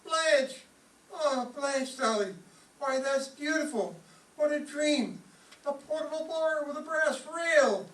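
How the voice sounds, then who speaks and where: A man's voice: extremely high pitch, expressive, takes it slowly, a bit bright, exaggerated voice. A man playing a bickering, tightfisted husband in old-time radio comedy style, wisecracking and exclaiming theatrically. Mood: gushing. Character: bickering, grumbling, tightfisted husband, excitable and wheedling. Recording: roomy, distant mic with background noise.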